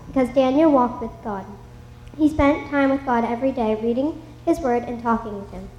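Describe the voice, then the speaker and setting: A woman's voice: high-pitched, darkish tone, roomy sound, highs cut off, a little hiss or hum, close to an everyday voice. A woman voicing a chatty detective in a children's story, earnestly narrating a moral lesson.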